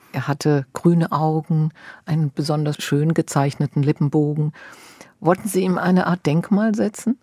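Interviewer, measured delivery, prepared literary questions, mid-range pitch, very little room sound. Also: darkish tone, no room sound, studio quality.

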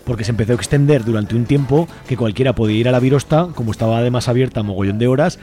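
Guy talking really fast, soft mellow tone, casual radio chat, super talkative. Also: mid-range voice. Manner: talkative, colloquial, seeks agreement with question tags. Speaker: community library volunteer, interview guest.

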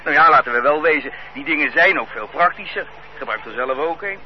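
A man's voice: fairly high pitch, a little fast, natural voice. An actor playing a worn-out 57-year-old barber who grumbles that his trade is dying, in a radio drama. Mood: resigned. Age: 50s. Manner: complaining, sighing chatter. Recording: dull old radio play recording with hiss.

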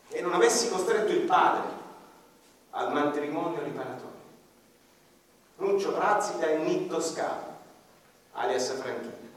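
A man giving slow, reflective first-person narration in a radio drama, sometimes dropping to a hush, with a violin playing behind him. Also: on the high side. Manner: slow, reflective, hushed at times. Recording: distant, echoey hall with long reverb. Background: violin music.

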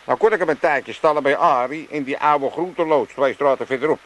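A man's voice: fairly high pitch, brisk, fairly bright tone, natural voice, low-quality recording. An older actor playing a grumbling, sarcastic, scheming old father in a comic radio play. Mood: blustering, evasive. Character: grumpy, scheming old Amsterdam father from a retirement home.

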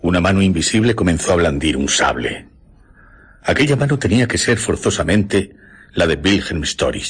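A man playing a grave eyewitness narrator in a radio drama, husky and measured. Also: sits low, fairly bright tone, heavily acted. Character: grave male eyewitness recounting an adventure tale.

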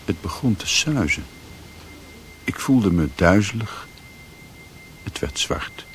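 An actor as a grave storyteller narrating a radio play in a deliberate, literary manner. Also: male voice, lowish voice, expressive, a bit bright, slight background noise. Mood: uneasy. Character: grave storyteller recounting a mysterious journey.

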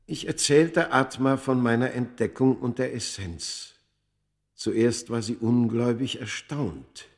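Medium-pitched, literary storyteller in love. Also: male voice, takes it slowly. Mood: reflective. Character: male Egyptian scholar recounting his lost love story. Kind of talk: radio play first-person narration.

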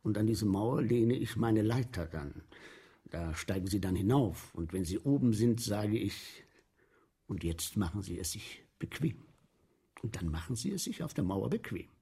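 A man's voice: on the low side, expressive, normal speed, warm tone, slightly rough, exaggerated voice. An actor playing a boastful, eager sewer inspector in a radio play, telling of his show like a theatrical, relishing storyteller. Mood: storytelling. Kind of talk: 1970s German radio play acting.

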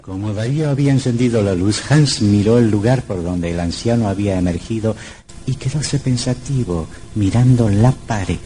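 Mellow, low-voiced male horror narrator. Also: moderate pace. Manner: dramatic, suspenseful. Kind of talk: scripted radio horror narration. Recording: noisy old radio drama recording.

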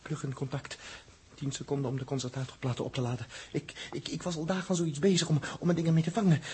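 An actor playing an agitated, guilt-ridden technician on a space crew in a 1960s radio drama, stammering and repeating words. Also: male voice, fairly high pitch, little pitch movement, fast, mellow, slightly rough. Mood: tense, hesitant. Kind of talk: 1960s Dutch radio play acting. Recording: noisy old 1960s radio recording.

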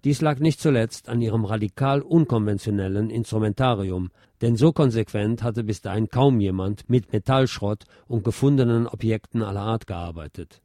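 Male nonfiction narrator: lowish pitch, warm relaxed tone, articulate literary reading. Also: moderate pace, few pauses, no echo, clean studio sound. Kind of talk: scripted narration, literary essay read aloud.

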